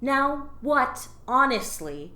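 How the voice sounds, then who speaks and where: A woman's voice: high voice, very animated, slow pace, bright, forceful tone, reverberant, heavily acted. A woman playing a bossy, slick alien office clerk in a scripted audio comedy, sarcastic and falsely breezy with superiors. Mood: exasperated, scolding.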